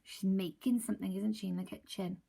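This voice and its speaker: Woman teacher reading a picture book to kids, gentle asides, slight gravel in the voice. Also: mid-range voice, steady tone, fairly quick. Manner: gentle, asks the children questions in soft asides. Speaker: primary school teacher.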